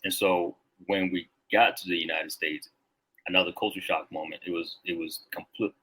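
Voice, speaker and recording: Male guest in his thirties from Rwanda; deep, husky voice, easygoing and reflective. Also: steady tone, normal speed, sharp tone, low-quality recording. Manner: reflective, loose, many filler words. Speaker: guest, Marine veteran. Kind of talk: casual podcast conversation.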